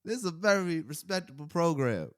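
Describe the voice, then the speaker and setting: A man's voice: fairly high pitch, animated, brisk. A man co-hosting a casual podcast, teasing the guest and laughing often. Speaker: podcast co-host. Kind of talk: casual chat.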